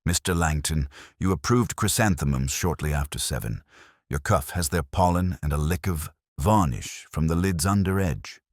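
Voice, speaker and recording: A man voicing a patient, shrewd police inspector, speaking in a measured, quietly probing way in a detective story. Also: a bass voice, no room sound, clean studio sound. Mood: accusing.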